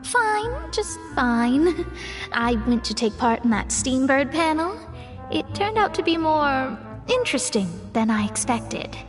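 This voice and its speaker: A woman's voice: sits high, sing-song, unhurried, soft background music. A woman playing a self-assured young woman in a video game, poised, articulate and slightly formal. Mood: composed, upbeat.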